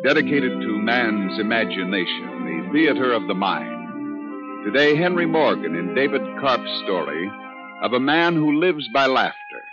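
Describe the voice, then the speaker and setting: A man's voice: mid-range voice, big pitch swings, a little slow. A man grandly introducing a programme in formal 1950s radio announcer style. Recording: muffled 1950s radio, loud music.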